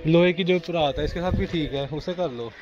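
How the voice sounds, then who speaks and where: A man's voice: on the high side, fairly quick, muffled recording. A man in his thirties, chats casually to viewers while doing rooftop chores in his village, filming on a phone at arm's length. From Pakistan. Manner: chatty, explains to viewers as he works, teasing. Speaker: village vlogger. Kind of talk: casual vlog narration to camera. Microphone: phone camera at arm's length. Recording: noisy outdoor phone audio.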